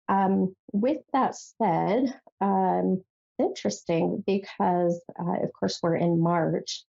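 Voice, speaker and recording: A woman's voice: lowish voice, gravelly, mellow, dull audio. A woman hosting a psychic call-in show, chatting casually about tarot with many fillers, sounding nasal from a head cold. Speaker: psychic radio host.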